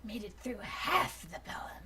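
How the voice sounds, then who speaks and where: A woman's voice: fairly high pitch, expressive, unhurried, sharp tone, whispered. A woman co-hosting a group reading, chipping into the casual banter with short teasing remarks.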